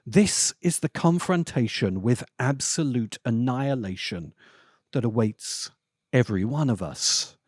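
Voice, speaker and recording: A man narrating a scripted video essay as its host, speaking deliberately with dramatic pauses and emphasis. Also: medium pitch, lively intonation, a little mellow, dry recording, clean studio sound. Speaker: host of a film commentary channel.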